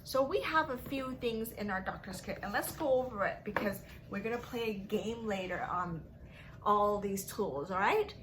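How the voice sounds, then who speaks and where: A woman's voice: mid-range voice, moderate pace, noisy background. A young woman leading a lesson for small children in a sing-song, exaggerated manner, asking questions and giving praise. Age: young adult. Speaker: children's story time teacher. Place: small furnished room at home.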